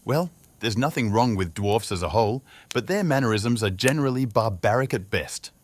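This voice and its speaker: A man's voice: on the low side, animated, moderate pace. A man voicing a pompous male royal guard who scolds in a prim, stuffy manner. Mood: huffy.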